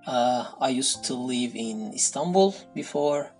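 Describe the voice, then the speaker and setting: A man's voice: mid-range voice, expressive, a little slow, darkish tone, faint music behind, low-quality recording. A man from Turkey with a Turkish accent, answering interview questions in English, his second language, with many fillers and restarts.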